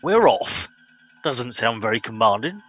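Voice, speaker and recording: A man acts a self-important English spaceship captain in a comedy radio play. He is officious and easily exasperated, with a bright, forceful voice over faint music. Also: medium pitch, big pitch swings, measured pace, breathy edge, full character voice. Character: pompous, impatient middle-aged spaceship captain. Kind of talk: comic radio play acting.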